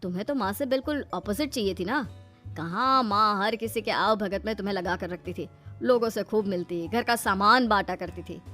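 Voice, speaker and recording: A woman's voice: mid-range voice, normal speed, sharp tone, soft background music, voice pushed down, lightly acted. A woman voicing a teasing, sarcastic young husband in a comedy cartoon. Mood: mocking. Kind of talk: Hindi comedy cartoon story acting.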